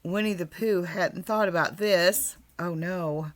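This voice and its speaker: A woman's voice: lowish voice, takes it slowly. A woman reading a children's storybook aloud as storyteller, expressively, with asides to young listeners.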